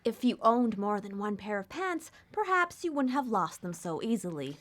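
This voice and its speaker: A woman playing a stern, pious guiding voice in a radio play, admonishing in a breathy half-whisper. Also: medium pitch, big pitch swings, moderate pace, fairly bright tone. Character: stern pious female guiding voice in someone's head. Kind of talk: live radio play acting.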